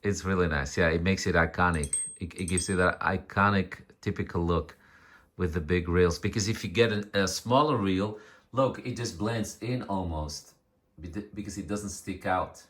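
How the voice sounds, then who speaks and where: Man, low voice, casual co-host chat, repetitive emphatic short phrases. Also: moderate pace, a bit bright. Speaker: video co-host.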